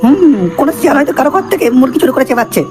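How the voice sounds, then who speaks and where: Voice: sits high, lively intonation, rapid-fire. A performer voicing a grumbling, foul-mouthed, jumpy character who mutters to themselves in a horror comedy cartoon. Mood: alarmed, wary. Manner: muttering complaints to self. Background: music.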